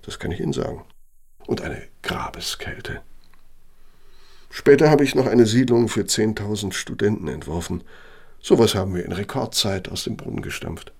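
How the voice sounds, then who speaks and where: An actor playing a cynical, bitter middle-aged architect and old Nazi functionary in a German radio play, gravelly, with a pointed, self-justifying delivery. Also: male voice, fairly low pitch, moderate pace. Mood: boastful. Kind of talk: German radio play acting, dramatic monologue.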